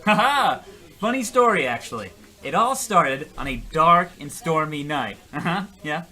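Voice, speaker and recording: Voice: medium pitch, lively intonation, measured pace, pushed and bright. An actor playing a dull, hapless rejected suitor, rambling and whining awkwardly in an old-time radio comedy style.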